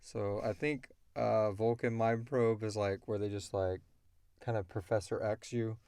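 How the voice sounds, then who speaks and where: A man's voice: on the low side, fairly even intonation, unhurried, a little mellow, clean tone, dry recording. A man hosting a casual chat, answering questions at length with opinionated, slangy asides. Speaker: podcast host answering listener questions.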